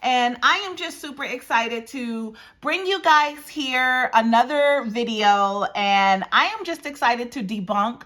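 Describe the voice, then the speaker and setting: A woman's voice: fairly high pitch, sharp tone. A woman in her forties from Washington, D.C., a realtor talking casually to camera from her dining room, emphatic and repeating her points. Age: 40s. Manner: emphatic, repeats phrases, addresses viewers as guys. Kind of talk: casual direct-to-camera market talk. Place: dining room at home by a windy patio.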